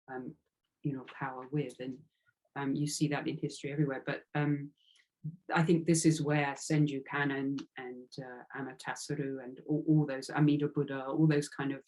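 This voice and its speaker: A woman's voice: very deep voice, a little mellow, poor audio. A woman giving a reflective interview as an author, speaking earnestly and tentatively and circling around her phrases.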